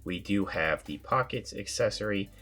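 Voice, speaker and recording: A man hosting a casual toy review, his voice raspy from a sinus infection, talking in a relaxed, rambling way with filler words. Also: on the low side, normal speed, soft background music. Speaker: toy reviewer, channel host.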